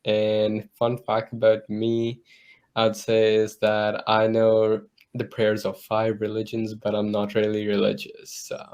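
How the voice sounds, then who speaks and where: A young man from India, a university student, chatting in a relaxed way with easy laughs on a video call. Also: fairly low pitch, takes it slowly, smooth. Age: young adult. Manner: relaxed, laughs easily, loose phrasing. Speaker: panel guest, student. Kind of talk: casual chat.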